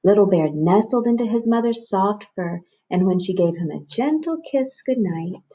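A woman in her forties reads a picture book aloud to children. Her voice is mid-pitched and a little husky. She narrates expressively, as a storyteller. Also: expressive, moderate pace, warm tone. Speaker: read-aloud host, storyteller. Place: small room at home, on a couch.

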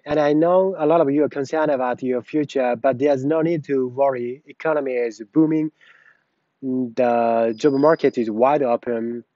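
Learner in his forties reciting a speech from memory; warm, dry, slightly dull earbud sound. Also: mid-range voice, no room sound. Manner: recites from memory, repeating and correcting phrases. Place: beside a plain wall with a vent.

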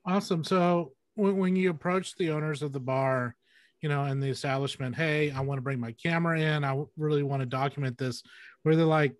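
A man's voice: on the high side, fairly even intonation, fairly quick, dry recording. A middle-aged man hosting a casual interview over a video call, asking questions informally. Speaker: interviewer, host. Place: indoor room, video call.

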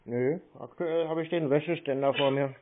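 A man in a casual prank phone conversation, needling the other side in a deadpan way. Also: fairly high pitch, moderate pace. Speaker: prank call recipient baiting phone scammers. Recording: band-limited, tinny phone line.

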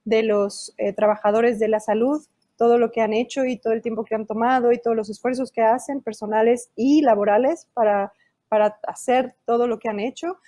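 A fairly high yet mellow-toned Mexican woman in her thirties, speaking with a Mexican Spanish accent. She talks animatedly, gesturing as she explains, in an interview over a video call. Her earphone mic gives a close, dry sound. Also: brisk, no echo. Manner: animated, gestures while talking. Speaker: illustrator and children's author. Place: indoor room at home. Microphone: earphone headset mic on a video call.

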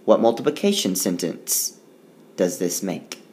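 A male teacher recorded over a tablet screencast, reading rhymes aloud in a slow, unhurried way. His pitch swings widely as he reads, then settles as he explains the counting. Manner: slow, sing-song rhyming read-aloud with lively pitch. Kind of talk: reading a picture book aloud and explaining math.

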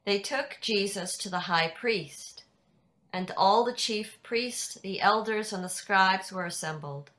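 An older woman reading scripture narration in a measured, deliberate way over a video call. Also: mid-range voice, a bit bright. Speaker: narrator in a church dramatic reading. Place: indoors at home on a video call. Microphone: video call computer microphone.

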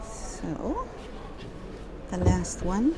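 A woman's voice: on the low side, wildly swooping pitch, very slow, background noise. A woman exhibitor demonstrating a small caravan at a trade fair, explaining features in simple, non-native phrasing. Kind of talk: product demonstration.

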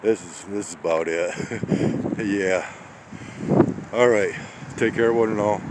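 A man's voice: on the low side, a little slow. A man talking casually while he walks small-town streets outdoors in the snow, with rambling, folksy exclamations into a handheld phone. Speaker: vlogger on a weather walk. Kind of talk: casual walking commentary. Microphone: handheld phone camera. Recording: wind noise on the mic.

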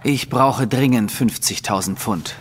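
A man's voice: medium pitch, measured pace, close to an everyday voice. An actor playing a haughty, secretive nobleman in an audio drama, speaking formally and with self-assured command. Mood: businesslike, urgent. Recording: reverberant hall, faint background noise.

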